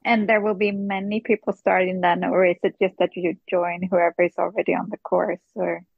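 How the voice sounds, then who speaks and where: A woman's voice: lowish voice, flat delivery, a little fast, gravelly, no room sound, narrow, tinny audio. A woman with a Swedish accent, a podcast guest chatting casually and asking short, hesitant questions over a remote call. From Sweden. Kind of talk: casual chat.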